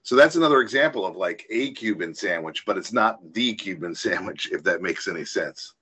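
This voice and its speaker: A man's voice: deep voice, lively intonation, fairly quick, pushed and bright, slightly rough. A man, an author and guest in a radio interview, answering in a thoughtful, hesitant way with many fillers.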